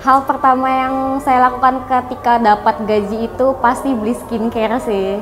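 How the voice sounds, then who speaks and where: A woman's voice: on the high side, little pitch movement, moderate pace, a bit bright. A twenty-year-old woman answering interview questions politely, with hesitant fillers. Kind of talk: casual street interview answers.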